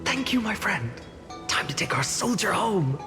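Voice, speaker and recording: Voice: mid-range voice, sing-song, moderate pace, close to an everyday voice. An actor playing a wistful, easygoing village youth in a fantasy video game. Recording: clear music behind.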